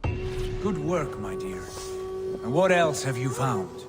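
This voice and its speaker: Ambitious Viking lord, animated delivery, grand and commanding, game drama, music behind. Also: male voice, medium pitch, slow pace. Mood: approving, resolute. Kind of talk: dramatic video game acting. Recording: long hall reverb, music behind.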